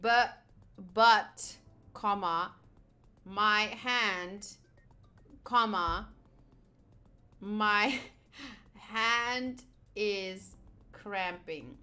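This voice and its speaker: A woman giving excitable, giggly commentary on a visual novel playthrough while reading the text aloud. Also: mid-range voice, extremely slow, long pauses. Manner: excitable, giggly, animated reactions. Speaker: streamer playing through a visual novel. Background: game music.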